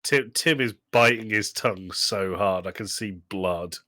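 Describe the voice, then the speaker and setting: Guy with a lowish voice on a podcast, teasing and sweary, very dry sound. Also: unhurried, pushed and bright, dry recording. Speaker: podcast co-host. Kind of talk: casual comedic banter about a film.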